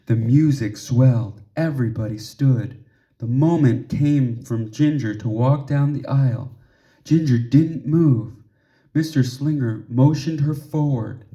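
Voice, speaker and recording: A man reading a children's story aloud expressively, with measured pauses and emphasis for drama. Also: mid-range voice, dark tone. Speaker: storybook reader.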